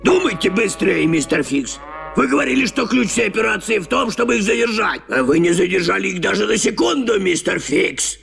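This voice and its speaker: A man voicing a scheming fat cartoon villain with a gravelly, theatrical, gloating delivery in a dub. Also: sits high, lively intonation, brisk, exaggerated voice. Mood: scheming, flustered. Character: scheming fat villain who bickers with himself. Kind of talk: dubbed cartoon acting. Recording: old cartoon dub with loud music.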